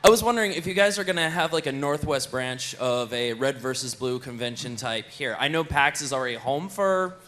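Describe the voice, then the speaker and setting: A man's voice: mid-range voice, fairly quick, hardly any pauses, fairly bright tone. A man asking a rambling, jokey question from the audience at a convention panel, performing for the crowd. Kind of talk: rambling, joking question from the floor.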